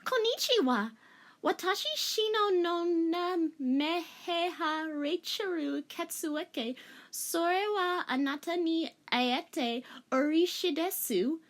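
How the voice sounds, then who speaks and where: Woman acting young anime heroine, high-pitched, earnest, sugary polite, theatrical fanfiction reading. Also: lively intonation, takes it slowly, heavily acted. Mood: eager, polite.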